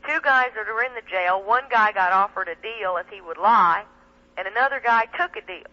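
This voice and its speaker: A woman's voice: mid-range voice, normal speed, muffled recording. A woman speaking emphatically and indignantly as a guest in an impassioned talk radio interview. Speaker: guest, attorney and activist. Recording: band-limited 1990s shortwave radio, telephone sound.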